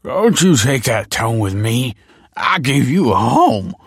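A man's voice: medium pitch, very animated, slow pace, husky, no room sound, studio quality, pitched above the performer's own voice, exaggerated voice. A man voicing a drunken, bitter deadbeat father, slurred, surly and drawling, for a narrated story. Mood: belligerent.

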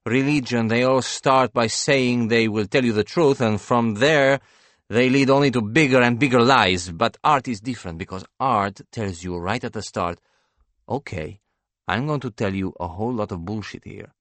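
A man's voice: mid-range voice, normal speed, a bit bright, dry recording, pitched above the performer's own voice, close to an everyday voice. A male narrator performs a young woman painter in a novel. She is blunt, idealistic and sardonic, speaking with earnest, rhapsodic emphasis. The sound is close and dry. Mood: animated, intense.

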